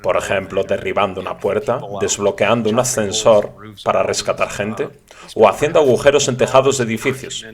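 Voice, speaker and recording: A man's voice: lowish voice, brisk. A man narrating the translation of a lecture as a voice-over.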